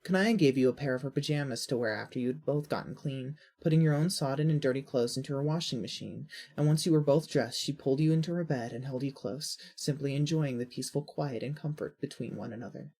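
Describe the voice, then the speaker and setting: A woman with a husky voice reading scripted fiction as a narrator. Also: very deep voice, fast, hardly any pauses, dark tone.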